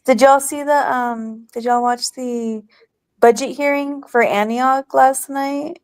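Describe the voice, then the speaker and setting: A woman co-hosts a community chat show in a smooth, clear voice, pitched a little high. She talks slowly and upbeat. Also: fairly even intonation, no echo. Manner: warm, upbeat, unhurried. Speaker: co-host. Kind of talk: casual chat.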